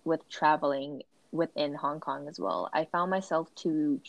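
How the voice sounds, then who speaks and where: A woman's voice: low-pitched, steady tone, measured pace, gravelly, dry recording, muffled recording. A young adult woman chatting casually, hedging often with "like" as she asks questions. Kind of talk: casual conversation.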